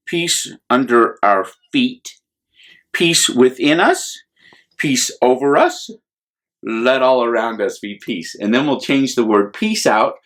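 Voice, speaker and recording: A man, a priest, speaking to children in simple, gentle words as he leads a storytime with song and blessing. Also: medium pitch. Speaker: priest telling children's Bible stories.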